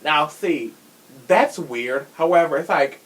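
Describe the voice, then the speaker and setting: A man's voice: on the high side, lively intonation, slow, fairly bright tone, rough recording. A man hosting a podcast in casual true crime chat, sassy and blunt, swearing freely. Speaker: podcast host.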